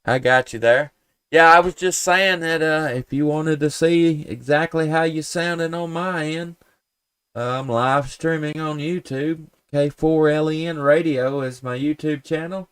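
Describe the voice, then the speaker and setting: A man's voice: on the high side, steady tone, measured pace, a bit bright, smooth, dry recording. A man with a Southern US accent chatting and calling as an amateur radio operator, folksy and polite. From Tennessee, United States. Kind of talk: casual ham radio chat and calling.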